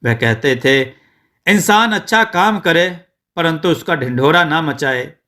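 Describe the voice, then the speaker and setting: A man voicing a loyal, respectful old shop servant in a moral story, explaining earnestly and deliberately. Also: a little high, lively intonation, sharp tone. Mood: earnest, revealing.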